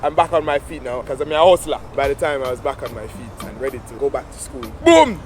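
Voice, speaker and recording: A Jamaican man in his thirties, a guest sharing his life story in a casual interview, animated and laughing often. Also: medium pitch, quick pace, loud music behind the voice.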